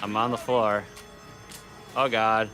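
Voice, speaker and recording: A man's voice: fairly low pitch, level tone, slow, over loud music. A man co-hosting a podcast, chatting in a laid-back way with short reactions while gaming. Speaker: podcast co-host. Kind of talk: casual chat while gaming.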